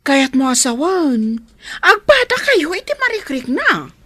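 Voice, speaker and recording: A meddling, frank household woman with an Ilocano accent. She teases and coaxes in a raised voice with big swoops of pitch. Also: big pitch swings, a little slow, sharp tone, no echo, exaggerated voice. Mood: coaxing, frank. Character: nosy, teasing household woman, frank and matchmaking. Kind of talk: Ilocano radio drama acting.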